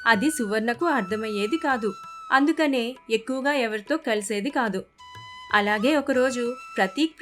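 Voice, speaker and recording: A woman's voice: high voice, a bit bright. A woman narrator telling a scripted moral family story. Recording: faint music bed behind the voice.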